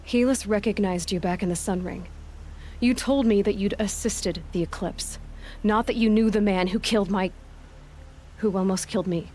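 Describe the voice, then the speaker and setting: An actress playing a guarded, stubborn young hunter in a video game cutscene, speaking in a dry, terse, sarcastic way. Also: female voice, mid-range voice, moderate pace, darkish tone, slight background noise, lightly acted. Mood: guarded, weary. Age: young adult.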